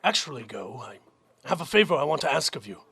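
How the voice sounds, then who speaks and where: A man playing a weary, dutiful young army captain, husky and creaky, hesitant and sincere, in an audio drama. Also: mid-range voice, big pitch swings, fast talker, bright, forceful tone, heavily acted. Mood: grave, sincere.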